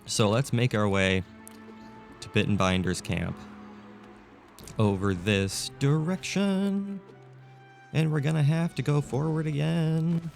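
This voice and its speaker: A man with a scratchy, raspy throat, casually commentating a video game as he plays. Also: mid-range voice, slow pace, pauses often, faint music behind. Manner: chatty, thinks aloud with fillers. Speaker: gameplay commentator. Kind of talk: casual let's-play commentary.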